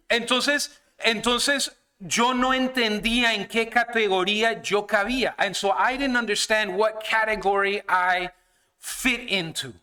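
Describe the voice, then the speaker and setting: A man's voice: high-pitched, pushed and bright. A man from Canada, a guest pastor, preaching and praying in a church, switching each phrase between two languages in an earnest, personal manner. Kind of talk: bilingual sermon and prayer. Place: church sanctuary.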